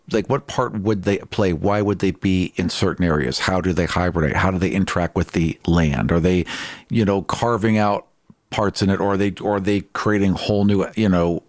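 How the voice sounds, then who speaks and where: A man co-hosting a podcast, chatting casually about tabletop gaming and musing out loud. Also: deep voice, dry recording, studio quality.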